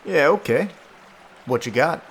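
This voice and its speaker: A man's voice: on the high side, lively intonation, a little slow, creaky, fairly bright tone, a little hiss or hum, full character voice. An actor playing a cocky, defiant young male prisoner in an audio drama, with a cheeky, taunting manner. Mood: cocky challenge.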